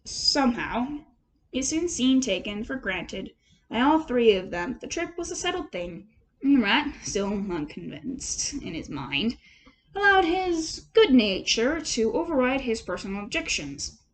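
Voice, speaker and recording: A woman reading a storybook aloud as an amateur narrator, in an expressive storytelling style. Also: a little high, measured pace, low-quality recording. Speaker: amateur audiobook reader.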